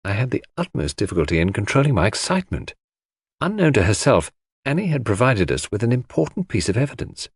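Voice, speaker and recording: Expressive male audiobook narrator, dry sound. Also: medium pitch, fast talker, husky, no room sound. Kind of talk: scripted first-person mystery narration.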